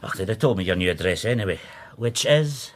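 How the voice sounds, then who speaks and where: An actor playing a cheeky, wheedling brother in trouble in a radio drama, with a husky voice and teasing manner. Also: male voice, on the low side, lively intonation, a little fast, natural voice. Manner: teasing, pleading, chuckling.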